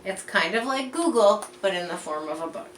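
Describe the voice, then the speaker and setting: A woman reading a picture book aloud to children in an expressive, teacherly way, pausing to explain and point things out. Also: mid-range voice. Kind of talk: read-aloud storytelling with explanatory asides. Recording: roomy echo, distant mic, faint background noise.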